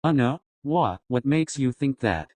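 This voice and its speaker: A man's voice: mid-range voice, animated, measured pace, no room sound, close to an everyday voice. A man playing a cornered, shifty lab worker turned traitor in an amateur audio drama, speaking curtly. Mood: nervous, evasive. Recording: loud music under the voice.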